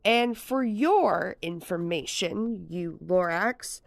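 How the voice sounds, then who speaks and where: A woman's voice: fairly low pitch, expressive, slow, gravelly, no room sound, exaggerated voice. A woman voicing a greedy, defiant businessman in a storybook, arguing back with emphatic, insistent repetition. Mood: angry, defiant. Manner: emphatic, insistent, repeating words.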